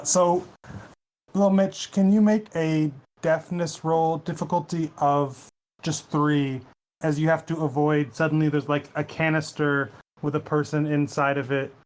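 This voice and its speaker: A man running a tabletop game as game master, narrating in a quick, casual, joking way. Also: fairly high pitch, steady tone.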